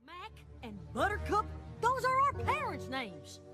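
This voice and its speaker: Earnest country pony mare, high-pitched and animated, cartoon delivery over music. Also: female voice, unhurried, heavily acted. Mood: astonished.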